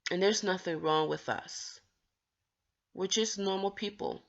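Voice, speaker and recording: A woman's voice: medium pitch, measured pace, creaky, highs cut off. A woman vlogger talking earnestly about her own stutter, with occasional stammered restarts as she encourages others. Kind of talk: personal talk to camera.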